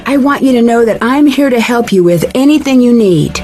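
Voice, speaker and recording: A woman's voice: fairly high pitch, lively intonation, fairly quick, darkish tone, natural voice. An actress playing a confident, inquisitive young woman detective in a video game, polite, direct and probing. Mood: polite, curious. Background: faint music.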